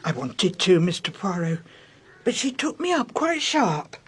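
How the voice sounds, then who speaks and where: An actress in a radio drama playing a loyal, chatty British household servant, gossipy and insistent in everyday colloquial speech. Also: female voice, mid-range voice, very animated, unhurried, slightly rough, full character voice. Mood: aggrieved, regretful.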